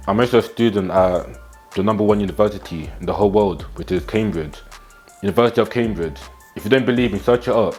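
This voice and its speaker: A man's voice: on the low side, a little mellow. Blunt teenage man giving a pep talk. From England. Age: teens. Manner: blunt, slangy, repeats lines for emphasis. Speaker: fitness and self-improvement vlogger. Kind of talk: motivational talk to camera. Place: small room at home, indoors.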